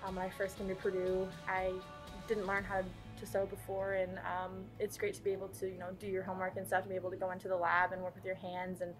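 Fast-talking young woman student. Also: mid-range voice, monotone, over music, low-quality recording. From Indiana. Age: young adult. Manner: quick, lots of fillers. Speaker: design student. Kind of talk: casual interview answers.

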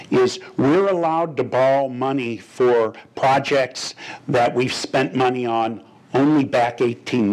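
A man's voice: mid-range voice, darkish tone, poor audio. An older man on a desk microphone in a boardroom, explaining a financial item to a board in a plain-spoken, gesturing way with frequent restarts. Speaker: finance official. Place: boardroom, indoors. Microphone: desk microphone on a stand.

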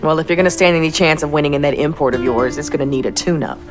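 An actress playing a guarded, stubborn young street racer, dry and defiant, in a game cutscene. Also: female voice, deep voice, rapid-fire, over music, lightly acted. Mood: concerned. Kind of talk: video game cutscene acting.

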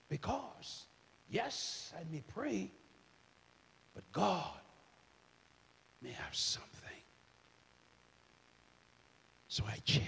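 High-voiced preacher in a reverberant hall. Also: male voice, vocal fry, breathy edge. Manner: swings from loud shouting to hushed near-whisper. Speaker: minister. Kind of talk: sermon. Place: large church stage. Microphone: handheld microphone.

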